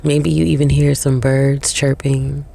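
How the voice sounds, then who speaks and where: A woman guides a meditation in short, unhurried phrases with long pauses. Her voice is dark and relaxed, and it crackles with heavy vocal fry. The delivery is soothing throughout. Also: very deep voice.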